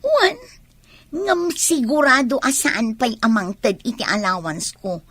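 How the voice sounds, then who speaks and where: A woman's voice: a little high, expressive, slow, a bit bright, breathy edge, dry recording, exaggerated voice. A young woman with a Filipino accent acting an anxious, scheming student in a comedy radio drama. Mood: insistent, scheming.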